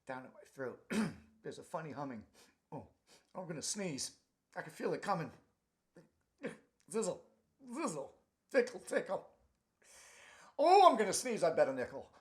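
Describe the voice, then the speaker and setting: A man's voice: fairly high pitch, very animated, normal speed, pauses often. A man playing a silly character on the verge of sneezing, in an exaggerated, theatrical children's rhyme. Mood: anxious anticipation. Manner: exaggerated, breaking off and building up.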